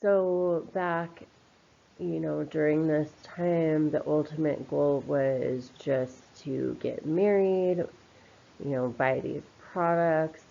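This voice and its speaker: A woman's voice: deep voice, gravelly, warm tone, slightly rough. A woman giving an informal spoken commentary in a reflective, opinionated, conversational manner. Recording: slightly dull, lo-fi voice recording.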